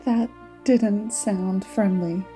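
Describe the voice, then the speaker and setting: A woman's voice: medium pitch, fairly even intonation, extremely slow, dark tone, faint music behind. A woman playing a curious, wry young travelling companion in an audio drama, casual and questioning.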